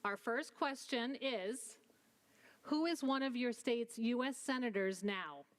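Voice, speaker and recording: A woman's voice: a little high, slow. Upbeat woman hosting a ceremony. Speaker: ceremony host. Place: school hall at a citizenship ceremony.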